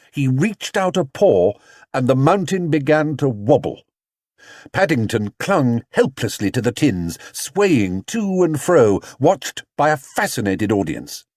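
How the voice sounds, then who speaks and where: A man narrating a children's storybook in an expressive, theatrical way. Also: medium pitch, no echo, clean studio sound. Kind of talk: animated children's audiobook narration.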